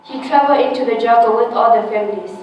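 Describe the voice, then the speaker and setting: A teenage girl born in Thailand reads her personal essay aloud with careful, halting phrasing and small restarts, recorded from a distant mic in an echoey room. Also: a little high, lo-fi sound, a little hiss or hum. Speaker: essay contest winner.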